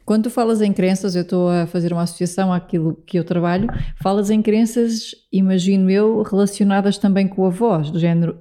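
Mellow Portuguese woman interviewer. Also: mid-range voice. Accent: European Portuguese. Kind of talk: conversational interview questioning.

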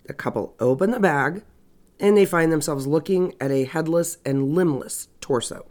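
A woman's voice: sits low, normal speed, a little mellow. A woman hosting a true crime podcast with a stuffy nose and a scratchy voice, narrating casually with wry, sarcastic asides. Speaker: podcast host.

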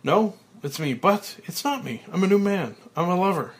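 A man reading aloud plays a frantic businessman who shouts in panic and delight. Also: medium pitch, expressive, moderate pace, natural voice. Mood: elated. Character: panicked then elated man, trapped businessman.

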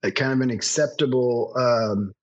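A man's voice: mid-range voice, moderate pace, muffled recording. A man hosting a podcast, chatting casually with a guest and often restarting his sentences. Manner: chatty, rambling with frequent restarts. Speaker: podcast host. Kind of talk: casual interview chat.

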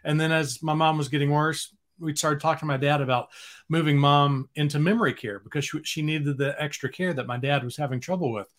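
A man's voice: a little high, steady tone, brisk, fairly bright tone. A man in his fifties from Texas, a former sportscaster speaking as a guest, easygoing and plainspoken. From Dallas area, Texas. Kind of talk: casual interview conversation.

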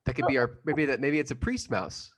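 A man's voice: mid-range voice, a little fast, husky, lightly acted. A man playing a flustered, gullible husband in improvised comedy, stammering and earnest.

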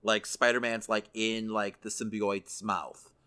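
A man's voice: on the low side, level tone, measured pace, bright, forceful tone, clear, resonant tone. A man co-hosting a podcast, chatting casually through entertainment news. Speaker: podcast co-host.

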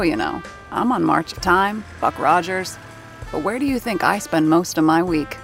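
Wry, low-voiced radio sound woman. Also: gravelly. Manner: wry, conversational asides to the listener. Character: wry, seasoned radio sound-effects woman, no-nonsense and fond. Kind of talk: 1930s-set audio drama narration and dialogue.

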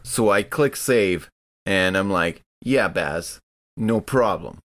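A man's voice: sits low, lively intonation, slow, a bit bright. A man talking casually and slangily as a player in a tabletop role-playing game.

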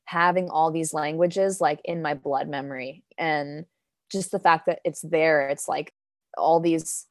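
A woman's voice: deep voice, no room sound, clean studio sound. A young woman poet from Denmark chatting in an interview, with lots of fillers and frequent laughs. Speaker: guest poet. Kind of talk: casual interview chat. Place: New York.

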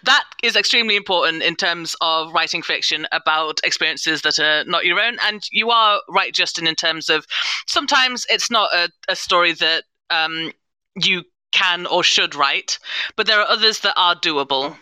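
A woman talks casually on a panel with real enthusiasm. Her voice is very bright and forceful, with a smooth, clear tone. The sound is close and dry. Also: fairly low pitch, normal speed, no echo, rough recording. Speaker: panellist, audio drama producer and sensitivity consultant.

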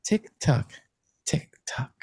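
A man's voice: extremely slow, warm tone, no echo, whispered, clean studio sound. A man hosting a casual podcast, joking crudely and laughing often. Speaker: podcast host.

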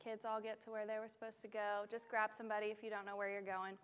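A young woman preaching from a church stage, telling stories in an animated, self-deprecating way. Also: medium pitch, quick pace, clean tone. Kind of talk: sermon. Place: church sanctuary.

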